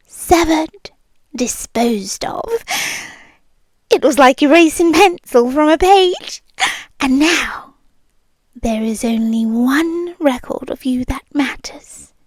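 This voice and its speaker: A young British woman voicing a calm, controlling rich girlfriend in an ASMR roleplay, speaking slowly and softly and often whispering close to the mic. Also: sits high, dry recording. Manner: slow, soft-spoken, often whispering close.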